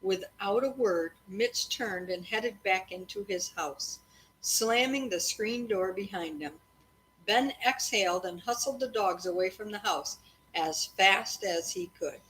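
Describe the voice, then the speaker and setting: Female read-aloud storyteller, mid-pitched, conversational with young listeners, some background noise. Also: unhurried, fairly bright tone, poor audio. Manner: breaks off to address and question children.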